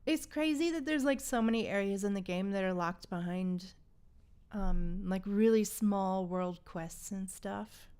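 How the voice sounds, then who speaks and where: A woman's voice: medium pitch, a little slow, darkish tone, clean tone. A woman chatting casually as she livestreams a video game, with playful exclamations and slang. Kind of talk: casual livestream gameplay chat. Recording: game music behind the voice. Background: video game music.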